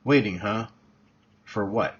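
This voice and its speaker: A man voicing a confused, questioning female newcomer in an audiobook, with a husky voice. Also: fairly low pitch, takes it slowly, exaggerated voice. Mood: bewildered. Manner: puzzled, questioning. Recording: dull, muffled lo-fi recording.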